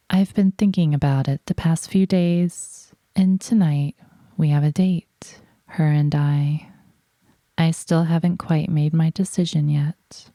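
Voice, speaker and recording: A woman narrating in character in the first person, in a soft, hushed, whispery and reflective voice. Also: sits low, no echo. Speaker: roleplay gamer narrating her character.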